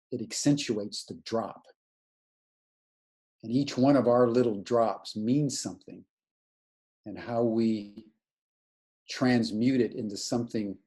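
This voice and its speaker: A man's voice: on the low side, warm tone. A man giving an earnest, searching spiritual lecture over a video call, often restarting his sentences. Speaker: webinar lecturer.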